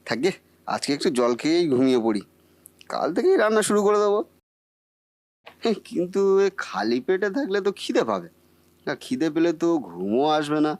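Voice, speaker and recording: A man's voice: a little high, very animated, a little fast, gravelly, pauses often, no room sound. A man voicing a bumbling, boastful cartoon husband who cannot cook, muttering theatrically to himself. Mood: grumbling. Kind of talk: comic Bengali cartoon acting.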